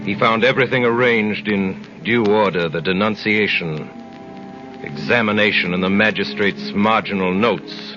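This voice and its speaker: Casting: man acting brooding aristocratic avenger, deep voice, stately 1930s radio delivery, dull vintage broadcast sound. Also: over music, natural voice. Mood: grave narrating. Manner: measured, grave, theatrical.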